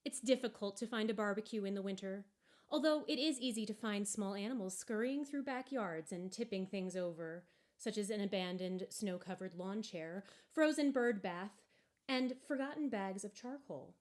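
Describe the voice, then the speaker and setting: A woman narrating a story aloud from a book in an expressive, deliberate and wry way. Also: medium pitch. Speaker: storybook reader.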